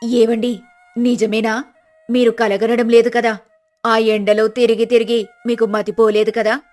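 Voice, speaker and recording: A woman voicing a scheming, sharp-tongued poor village wife in a storybook drama, talking in a bossy, scolding way. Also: on the high side, little pitch movement, measured pace, soft background music, natural voice. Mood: amazed.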